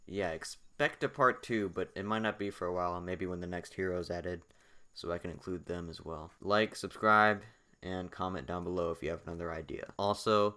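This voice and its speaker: A man's voice: deep voice, fairly bright tone, clear, resonant tone. A young man talking casually over a video, rambling with many fillers and repeats, his voice partly lost. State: losing his voice. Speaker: gaming YouTuber.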